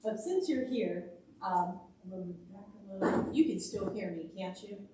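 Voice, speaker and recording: A woman with a loud, mid-pitched voice steers a zoning meeting, talking things through with ums and asides. The sound is distant and very roomy, with a faint hum. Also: moderate pace. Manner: chatty, thinks aloud with fillers, says her voice is loud. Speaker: zoning commission member leading the discussion. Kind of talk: public meeting discussion. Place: township meeting room. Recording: echoey meeting room from a distant mic.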